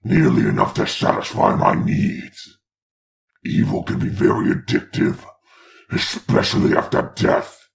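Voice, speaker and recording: A man voicing an imperious male demon lord of hell in a fan comic dub, raspy and menacing in slow speeches. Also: huge pitch swings, full character voice. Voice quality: deep, raspy.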